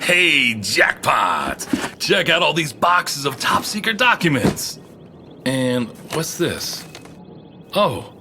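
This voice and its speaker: A man playing an excitable, folksy snoop who mutters and exclaims to himself in an audio drama. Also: on the high side, slight background noise, full character voice. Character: excitable male snoop poking around an abandoned moon base. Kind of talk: animated audio drama acting, talking to himself.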